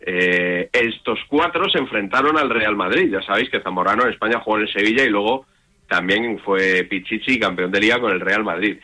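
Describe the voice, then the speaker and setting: A man with a fairly low, bright-edged voice hosts a sports radio talk show. He leads the chat with wry, rambling anecdotes. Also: fairly quick.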